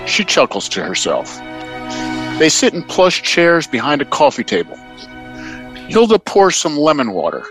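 A man's voice: a little high, wildly swooping pitch, a little slow, long pauses, a bit bright, faint music behind, exaggerated voice. A man reading scripted stage directions as the announcer of an audio play.

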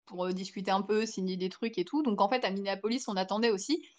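A woman's voice: medium pitch, steady tone, fast talker. A young French woman, a podcast guest, telling a long anecdote in casual chat with many fillers and restarts. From France. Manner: chatty storytelling with many fillers.

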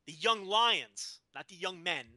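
A man in his thirties with a high, piercingly bright voice co-hosts a casual podcast. He jokes and laughs his way through the chat, cutting in over his partner. The sound is dry and close, with no room echo. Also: animated, a little slow. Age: 30s. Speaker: podcast co-host.

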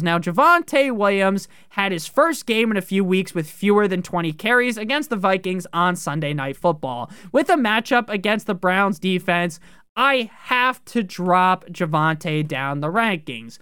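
A man hosting a fantasy football podcast, talking casually with profane, joking asides and sudden jumps in pitch on key words. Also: animated, moderate pace, pushed and bright, clean tone, dry recording, clean studio sound.

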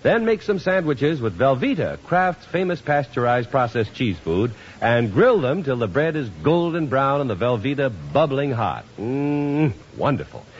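A man's voice: medium pitch, sing-song, moderate pace, hardly any pauses. A man announcing in a scripted 1950s radio sponsor style. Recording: dull, faintly noisy radio, audience behind.

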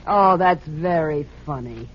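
A woman's voice: low-pitched, expressive, takes it slowly, exaggerated voice. An American woman playing a quarrelsome, demanding socialite wife with theatrical delivery in an old radio drama. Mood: nagging, demanding. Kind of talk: 1950s radio drama acting. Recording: muffled, noisy old radio recording.

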